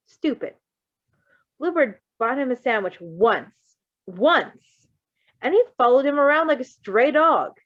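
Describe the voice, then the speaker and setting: A woman reading fan fiction aloud with casual asides, expressive and emotionally invested in the story. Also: a little high, no echo, highs cut off.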